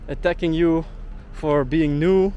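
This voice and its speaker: A young man rants to camera in an indignant vlog monologue, leaning on "like" as filler. His voice sits a little on the high side. Faint traffic hums in the street around him. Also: fairly even intonation, slow pace, dark tone, lo-fi sound. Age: young adult. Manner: indignant, heavy use of "like" fillers. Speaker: travel vlogger.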